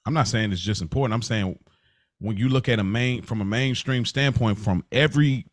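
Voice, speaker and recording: A man's voice: mid-range voice, fairly quick, no echo, studio quality. An American man co-hosting a podcast, chatting casually in an informal, slangy way. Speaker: podcast co-host. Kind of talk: casual conversation.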